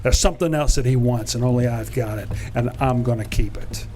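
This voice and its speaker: A man's voice: mid-range voice, a little fast, warm tone, a little hiss or hum, lightly acted. A man in a community radio play voicing a wisecracking, charming detective. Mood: wry. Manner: wisecracking, breezy. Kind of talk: community theater radio drama.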